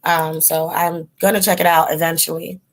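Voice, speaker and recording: A woman's voice: low-pitched, little pitch movement, moderate pace. A woman hosting a casual livestream, rambling with lots of ums and likes while chatting with viewers, her voice giving out. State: losing her voice. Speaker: host of a tarot channel, chatting with live viewers.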